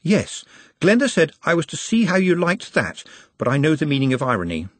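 A man acts out an anxious, bookish male servant from a storybook. He is earnest and painfully polite, choosing formal words with care in a raised, slightly husky voice, recorded dry. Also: a little high, wildly swooping pitch, brisk, a bit bright, no echo, pitched above the performer's own voice, heavily acted. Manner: precise, formal, hesitant wording.

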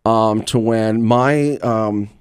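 A man from Louisiana speaking as an actor guest in an interview, telling stories in an enthusiastic, conversational and animated way. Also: on the low side, drawn-out words, clean tone. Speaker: interview guest, actor and radio drama creator.

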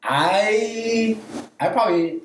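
A man's voice: high-pitched, slow. Unassuming man in an echoey room. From born in the Philippines, moved to Canada at nine. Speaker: podcast guest. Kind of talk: casual chat. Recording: roomy echo with faint background noise.

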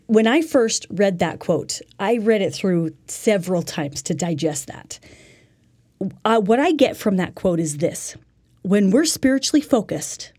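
Woman, lesson presenter, mellow tone, a little husky, earnest, instructive, spiritual teaching. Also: mid-range voice. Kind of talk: religious self-help lesson.

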